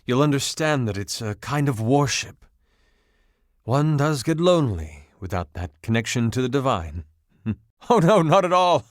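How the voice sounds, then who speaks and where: An actor playing a flamboyant, excitable washing machine in a video game, grandiose, romantic and melodramatic in delivery. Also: male voice, medium pitch, normal speed, no echo, studio quality, lightly acted. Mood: gushing. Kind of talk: theatrical video game voice acting.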